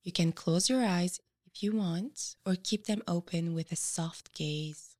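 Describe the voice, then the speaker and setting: A woman leading a guided meditation, giving measured instructions with frequent pauses. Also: on the low side, darkish tone.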